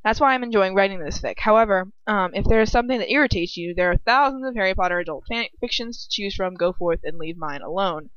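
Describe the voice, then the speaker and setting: A woman's voice: mid-range voice, lively intonation, fast, few pauses, a bit bright, dry recording, muffled recording. A young woman co-hosting a fan fiction read-aloud, chatting and reading casually with informal, wry asides.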